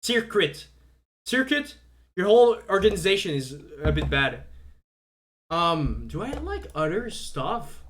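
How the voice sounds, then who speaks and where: A man's voice: high voice, big pitch swings, measured pace, a bit bright. A young man whose first language is French, talking casually on a live stream, rambling with lots of fillers and stumbling restarts.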